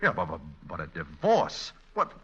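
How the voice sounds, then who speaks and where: An actor playing a smooth-talking, flirtatious con man in a radio romantic comedy, stammering then recovering with wisecracks. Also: sits low, wildly swooping pitch, moderate pace, fairly bright tone, exaggerated voice. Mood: pleading, wheedling. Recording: dull, muffled vintage radio recording.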